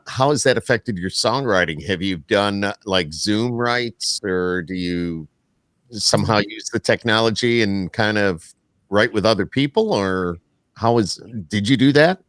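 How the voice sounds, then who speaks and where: Low-voiced man hosting a podcast. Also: a bit bright, no echo. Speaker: podcast host. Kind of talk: casual interview chat.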